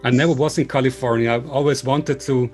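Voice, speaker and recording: Swiss man, earnest and halting interviewer. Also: medium pitch, fairly even intonation, a little mellow, faint music behind. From Switzerland. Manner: earnest, polite, halting speech. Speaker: interviewer and video series host. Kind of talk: informal remote interview.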